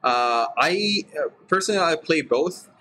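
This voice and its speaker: A man hosting a casual group chat about role-playing, chatty and quick to laugh. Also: a little high, animated, pushed and bright. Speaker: podcast host.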